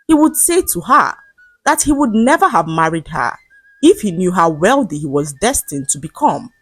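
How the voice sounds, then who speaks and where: A woman narrating a scripted folktale in a measured, dramatic storytelling manner. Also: fairly low pitch, big pitch swings, slight background noise, rough recording. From Nigeria.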